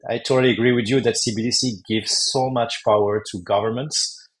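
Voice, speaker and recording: A man, a company founder as a podcast guest, talking in a measured, hesitant way and searching for words. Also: mid-range voice, fairly even intonation, poor audio. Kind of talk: casual interview talk.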